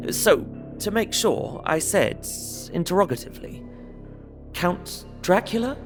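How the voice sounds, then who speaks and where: A man playing an earnest young solicitor, narrating his travel diary in a measured, descriptive way for an audio drama. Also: high voice, animated. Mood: uneasy, curious. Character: young English solicitor recounting his journey, earnest, observant. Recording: prominent music under voice. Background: music.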